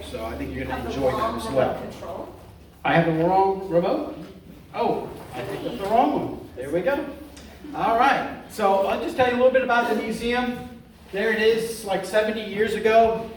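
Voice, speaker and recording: A middle-aged man from Florida lectures as a museum curator, joking and chatty with plenty of fillers and restarts. His voice sits fairly high for a man. He sounds far from the mic in a very echoey hall. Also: slight background noise. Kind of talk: casual public lecture with jokes. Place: large hall, voice picked up from far off.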